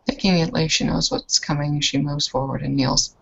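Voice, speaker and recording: A woman's voice: low-pitched, fairly even intonation, moderate pace, creaky, a bit bright, husky. A young woman narrating her character's actions as a player in a tabletop roleplay game. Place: indoor room with leather couch, on video call. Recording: muffled lo-fi online call.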